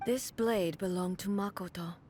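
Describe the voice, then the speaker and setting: An actress voicing a stern, unyielding divine woman warlord in a video game, with a measured, solemn and formal delivery. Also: lowish voice, darkish tone, natural voice. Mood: reflective.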